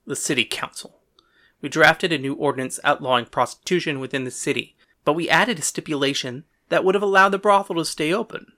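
A woman's voice: deep voice, fairly quick, pushed and bright, voice pushed down. A woman voicing a defiant, exasperated mayor and husband with an indignant, blustering manner in an audiobook. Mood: evasive, defensive. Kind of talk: audiobook character dialogue.

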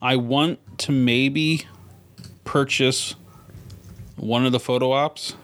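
Male podcast co-host, average pitch, unscripted and informal, talking as if among friends. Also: steady tone, slow pace, a bit bright, smooth. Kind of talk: casual chat.

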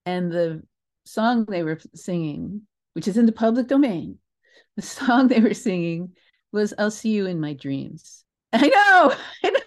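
An older woman telling stories as an interview guest in a casual, animated, emphatic way, often interrupting herself and digressing. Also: medium pitch, unhurried. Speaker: interview guest, theatre creator.

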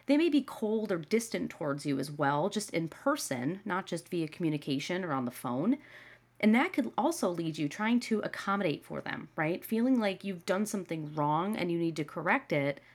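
A woman's voice: lowish voice, expressive, moderate pace. A young adult woman hosting a solo podcast, talking earnestly and conversationally with a slightly nasal voice from a cold. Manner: earnest, conversational, with frequent "right?" and "you know". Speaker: podcast host. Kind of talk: casual solo podcast talk.

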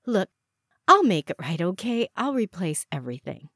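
A woman voicing a patient, placating young mother in an audiobook, with a gentle, reasoning manner. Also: on the low side, sing-song, normal speed, no room sound, clean studio sound, full character voice. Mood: gently placating.

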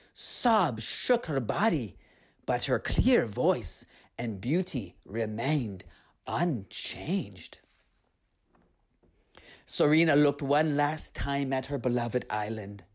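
A storyteller narrating and reflecting on a tale in an earnest, unhurried, reflective way. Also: mid-range voice, slightly rough.

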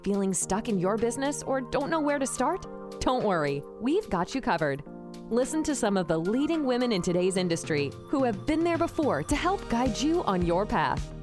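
A woman announces a show opening in a bright, polished voice that swoops lively up and down. She sits a little high for a woman. Her words ride over a clear music bed. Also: normal speed. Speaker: podcast intro announcer. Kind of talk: scripted promo intro.

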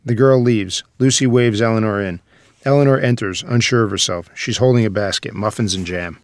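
Male narrator, slightly low pitch, scripted stage directions, dry recording. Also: a little fast, no room sound.

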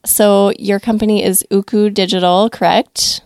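A woman's voice: mid-range voice, little pitch movement, normal speed, darkish tone, no echo. Upbeat woman podcast host, dry sound. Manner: upbeat and encouraging. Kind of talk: podcast interview, scripted intro then casual chat.

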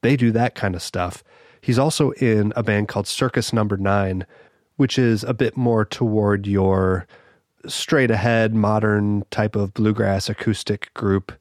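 A man's voice: on the low side, fairly even intonation, unhurried, darkish tone, no echo, clean studio sound. A man hosting a podcast with a voice scratchy from a cold, talking in an easygoing way with small restarts. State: hoarse from a cold. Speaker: podcast host. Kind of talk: casual spoken podcast intro. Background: banjo music.

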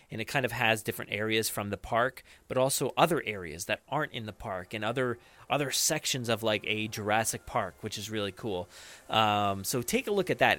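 A man chatting casually as a podcast co-host, enthusiastic and often halting as he searches for words. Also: fairly low pitch, fairly bright tone.

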